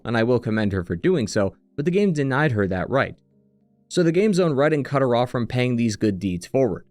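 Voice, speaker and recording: A man delivers scripted critical narration in the manner of a long-form video essay. His voice sits at a middle pitch, recorded very dry, with music playing underneath. The delivery is analytical and written out in advance. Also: no room sound.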